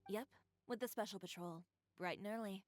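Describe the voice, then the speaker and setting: Actress doing a cool composed young designer lady from a game, crisp n confident, mid voice, soft music under. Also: medium pitch, moderate pace, close to an everyday voice. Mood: dry. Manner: poised, crisp, matter-of-fact. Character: composed, self-assured young female fashion designer. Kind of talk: video game character acting.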